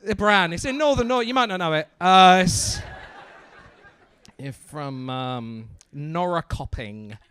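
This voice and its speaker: Lively, bright-voiced comedian. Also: male voice, fairly high pitch, clean tone. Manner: teasing, quick banter with audience members. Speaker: stand-up comedian. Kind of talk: crowd work.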